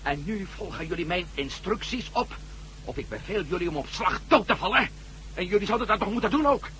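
A man's voice: high-pitched, expressive, fairly quick, bright, forceful tone, a little hiss or hum, poor audio. A man playing a weary, wry, commanding ex-astronaut adventurer in a radio drama, brusque and sardonic. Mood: commanding, impatient.

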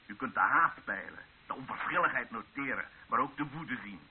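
An actor playing a bitter, menacing rebel district commander in an old radio drama, with a stern, mocking, declamatory delivery. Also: male voice, mid-range voice, expressive, brisk, slightly rough. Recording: hissy, narrow-band old radio drama recording.

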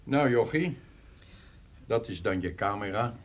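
A man's voice: lowish voice, animated, a little slow. A man playing a laconic, condescending police officer in a radio drama. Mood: dry, mocking.

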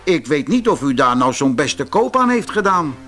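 A man's voice: a little high, sing-song, normal speed, a bit bright, faint music behind. A man with a Dutch accent voicing blunt, opinionated villagers in a storybook, talking in a colloquial, emphatic way. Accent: Dutch, from the Netherlands. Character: adult male villagers bluntly remarking on a horse.

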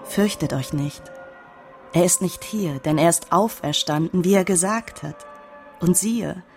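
An angel of the Lord in a biblical radio play. The voice sits slightly low as it announces the resurrection, with soft music underneath. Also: female voice, measured pace. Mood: solemn, reassuring. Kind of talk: German radio drama acting.

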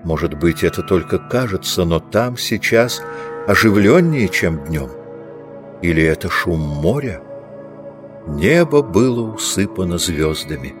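A man's voice: low voice, big pitch swings, unhurried, music in the background. A man reading scripted literary narration as the narrator of an audiobook.

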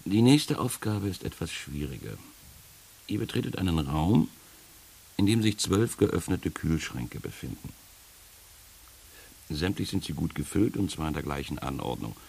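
An actor playing a sardonic guide of the dead in a radio play, speaking in a wry, gravelly, unhurried and instructing way. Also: male voice, deep voice, lively intonation, darkish tone, exaggerated voice, rough recording. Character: sardonic male guide leading the dead through trials. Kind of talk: 1980s German radio play acting. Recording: hissy early-1980s radio recording.

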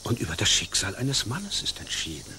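An actor playing a dignified middle-aged father in a radio play, with a measured, earnest, formal way of talking. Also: lowish voice, huge pitch swings, pushed and bright, a little hiss or hum, exaggerated voice. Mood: grave, persuasive. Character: dignified father urging his daughter's advantageous marriage.

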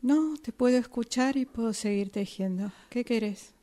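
A woman playing a weary, guarded mother in an improvised radio drama, speaking tersely with an Argentine accent and a breathy voice. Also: on the high side, moderate pace, dark tone, close to an everyday voice. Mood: wary, stunned. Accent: Argentine Spanish. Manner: terse, echoing questions. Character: weary, guarded mother of a teenage daughter, knitting.

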